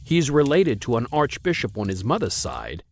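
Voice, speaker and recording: A man's voice: medium pitch, wildly swooping pitch, normal speed, a little hiss or hum. An actor playing a stern, practical middle-aged father who lectures his daughter firmly. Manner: firm, paternal lecturing. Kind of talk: 1940s style radio acting.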